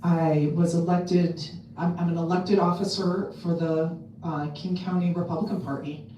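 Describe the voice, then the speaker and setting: A woman with a loud voice giving a casual talk to a convention audience, chatty and full of ums and restarts. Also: lowish voice, level tone, moderate pace, a little hiss or hum. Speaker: convention speaker. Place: convention hall. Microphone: handheld mic on a stand. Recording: echoey hall, mic at a distance.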